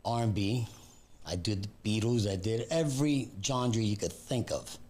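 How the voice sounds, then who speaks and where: Older guy in his 70s, lowish voice, laid back, jokes at his own expense. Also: normal speed, darkish tone. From Puerto Rico, raised in New York. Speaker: guest, business founder. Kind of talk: casual interview answers.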